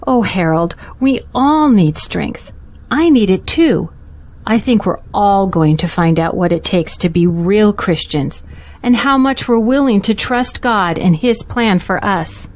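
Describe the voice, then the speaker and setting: A woman's voice: medium pitch, animated, measured pace, lightly acted. Steadfast, devout pastor's confidante for audio drama female actor: mid-range voice, earnest, supportive, reassuring.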